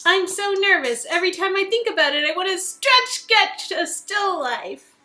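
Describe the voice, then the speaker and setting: A woman's voice: very high voice, animated, normal speed, pushed and bright, faint music behind, voice pushed up, heavily acted. A woman playing a playful, affectionate young woman in an interactive story game, with a theatrical, teasing manner. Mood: eager, nervous.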